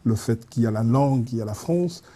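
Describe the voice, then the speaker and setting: A man speaking as a studio debate guest. His voice is very dark and husky, and he builds long, winding arguments with frequent pauses. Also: medium pitch. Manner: measured, long winding sentences with hesitations.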